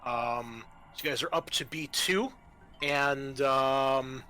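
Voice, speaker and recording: Guy game mastering a tabletop rpg, bright voice, explains rules kinda haltingly, music behind him. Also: medium pitch. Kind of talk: narrating and refereeing a tabletop role-playing game.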